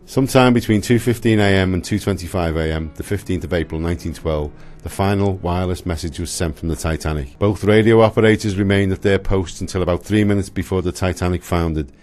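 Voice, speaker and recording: A man's voice: deep voice, quick pace, few pauses, darkish tone. A man giving dramatic scripted narration for a historical radio play.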